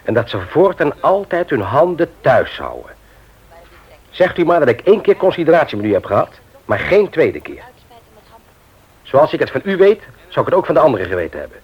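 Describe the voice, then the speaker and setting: A man's voice: a little high, big pitch swings, brisk, breathy edge. An actor playing a stern, moralizing man who lectures emphatically in a radio play. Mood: lecturing, firm. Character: stern, moralizing man confronting a petty thief. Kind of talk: Dutch radio play acting. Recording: muffled vintage radio recording.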